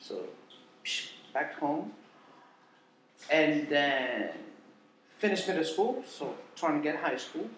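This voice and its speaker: A man's voice: fairly high pitch, big pitch swings, takes it slowly, pauses often, a bit bright, a little hiss or hum. Blunt, swearing man from Indonesia. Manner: blunt, swears freely, jokes. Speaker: podcast guest. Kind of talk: casual storytelling. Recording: echoey room.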